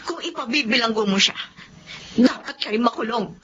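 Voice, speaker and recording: A woman's voice: fairly high pitch, animated, moderate pace, sharp tone. A woman playing an anxious, reproachful young wife and mother in a Filipino radio drama. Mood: bitter, accusing. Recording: dull lo-fi audio, clearly noisy.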